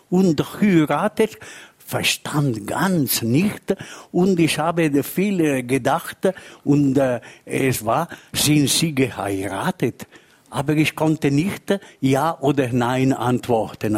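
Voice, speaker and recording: Slow, halting older man with Italian accent. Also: mid-range voice, breathy edge. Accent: Ticino Italian. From Ticino, Switzerland. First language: Ticinese Lombard dialect. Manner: halting, searching for words in imperfect German. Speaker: writer and translator, guest. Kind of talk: live panel talk.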